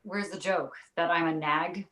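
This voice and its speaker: A woman playing a skeptical, exasperated young mother in an animated comedy table read, with a dry manner. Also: on the low side, measured pace. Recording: roomy echo.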